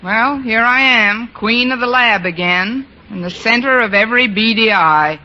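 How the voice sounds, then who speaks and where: An actress playing a wisecracking high school teacher in an old radio sitcom, with dry, sardonic quips. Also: female voice, normal speed, bright, forceful tone. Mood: wry. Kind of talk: 1940s-50s radio sitcom acting. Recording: noisy, muffled vintage radio broadcast.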